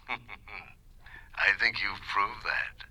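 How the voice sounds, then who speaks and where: A man's voice: lowish voice, fairly even intonation, extremely slow, sharp tone, full character voice, rough recording. An actor playing a smug, arrogant male businessman in a 1970s radio drama, mocking and dismissive. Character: smug male businessman who wronged his partner.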